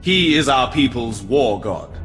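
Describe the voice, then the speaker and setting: A man's voice: mid-range voice, expressive, a little slow, fairly bright tone. An actor playing a solemn, proud male alien elf fleet commander in a video game cutscene. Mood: solemn, grateful. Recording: long hall reverb, music behind.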